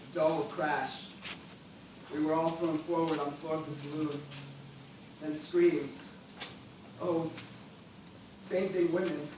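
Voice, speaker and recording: Echoey, distant, anguished shipwrecked gentleman played by a man. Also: a little high, natural voice. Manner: declamatory, melodramatic. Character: anguished, bitter gentleman, shipwreck survivor adrift on a raft. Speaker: stage actor. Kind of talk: stage play dramatic monologue. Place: theatre stage, recorded from afar. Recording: very echoey stage, distant mic, loud noise.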